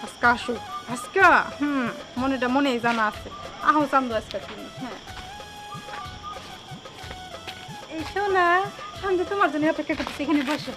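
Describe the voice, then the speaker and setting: Pouty, wheedling village girlfriend, played by a woman, Bangladeshi accent, shrill-high register, fast delivery. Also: natural voice, rough recording. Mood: impatient. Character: pouting, demanding village mistress wheedling her married lover. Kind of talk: Bengali web comedy drama acting. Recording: loud music under the voice.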